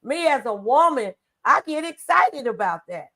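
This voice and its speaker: High-pitched woman hosting inspirational talk. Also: very animated, normal speed, bright, forceful tone. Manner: exhorting, conversational. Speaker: talk show host.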